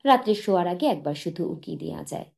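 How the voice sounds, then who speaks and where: Low, mellow woman narrator. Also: expressive, fast talker, gravelly. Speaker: audiobook narrator. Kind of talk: scripted literary story narration.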